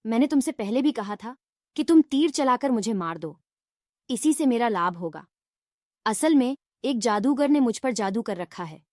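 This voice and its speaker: A woman voicing a wise, scolding but helpful fox in a storybook fairy tale, with measured, admonishing delivery. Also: on the high side, expressive, darkish tone, no room sound, studio quality, close to an everyday voice. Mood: resigned, pleading. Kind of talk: Hindi fairy tale character voice.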